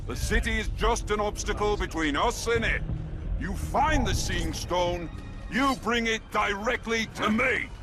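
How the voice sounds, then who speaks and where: High-pitched swaggering orc captain. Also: male voice, unhurried, music in the background. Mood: commanding, scheming. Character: swaggering, impatient orc war captain commanding troops. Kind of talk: video game cutscene acting.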